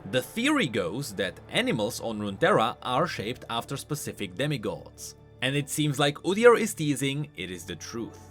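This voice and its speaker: Animated male game lore commentator. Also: medium pitch, moderate pace, hardly any pauses. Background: faint background music.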